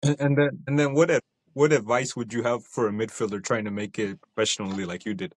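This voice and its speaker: A man hosting a podcast, asking short, quick questions and nodding along with a steady "yeah.". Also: mid-range voice, no room sound. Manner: brief backchannel agreement, quick questions. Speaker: podcast host, coach. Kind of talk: interviewing.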